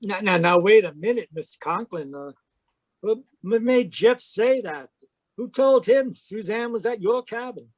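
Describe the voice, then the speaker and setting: A man plays a glib, high-pitched fellow from a frontier radio play. He is evasive and placating, with hesitant turns of phrase. The sound is close and dry. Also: animated, measured pace, no echo. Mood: blustering, evasive. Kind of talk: old-time radio drama acting. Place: home, live online video performance.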